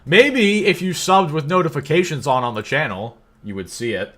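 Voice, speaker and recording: A man's voice: fairly high pitch, sing-song, noisy background. A young man hosting a casual live stream, joking with his chat and teasing his co-host. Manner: joking, teasing, quick banter with frequent laughs. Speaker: livestream host.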